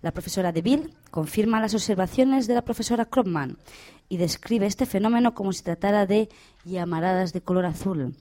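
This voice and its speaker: A woman's voice: mid-range voice, brisk, warm tone, lightly acted. A woman playing a composed radio presenter in a parody radio play, formal and polite with a catty edge. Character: composed female radio music-show presenter, sweetly catty toward rival. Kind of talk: radio theatre, mock announcing.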